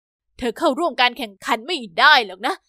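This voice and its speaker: A woman voicing a cold, harsh middle-aged stepmother who scolds loudly in a storybook reading. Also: high voice, lively intonation, brisk, no echo, clean studio sound. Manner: scolding, loud and cutting.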